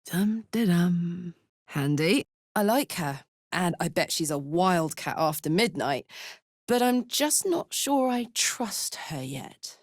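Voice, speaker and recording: A woman playing a big, horned hellspawn warrior in a video game, brash and casual, warm-hearted, playful and hot-tempered. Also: on the low side, lively intonation, slow pace, no echo, clean studio sound, heavily acted. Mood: flirty, playful. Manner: brash, casual, emotionally open. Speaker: video game character voice actor. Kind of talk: expressive video game voice acting.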